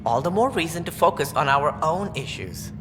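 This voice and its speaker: An actor playing a stern, self-important alien politician in a video game, formal and argumentative. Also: male voice, fairly high pitch, expressive, normal speed, close to an everyday voice. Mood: indignant. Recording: noisy, echoing hall, ambient sound.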